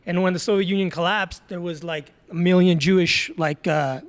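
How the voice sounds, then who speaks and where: A man in his thirties with a high voice speaks as a podcast guest. Hebrew was his first language. He tells his family story casually and acts out the people he quotes, with lots of fillers. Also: normal speed. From Azerbaijan. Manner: animated retelling with quoted voices, many fillers. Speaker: podcast guest, tattoo artist.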